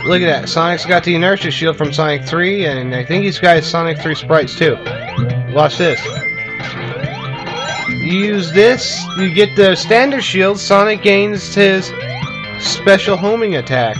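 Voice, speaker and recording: A man's voice: high-pitched, lively intonation, a little slow, poor audio. A man giving casual, sweary gameplay commentary, chatting to viewers as folks in an informal way. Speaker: gaming video host. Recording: amateur camera filming a TV screen. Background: video game music.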